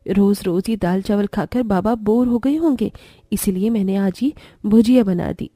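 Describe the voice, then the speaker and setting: This is a woman playing a humble young daughter-in-law in a cartoon family story. Her voice is mellow and mid-pitched, with an earnest, deferential manner. The recording is dry. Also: moderate pace, no echo, lightly acted. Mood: tender, caring. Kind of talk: Hindi cartoon story voice acting.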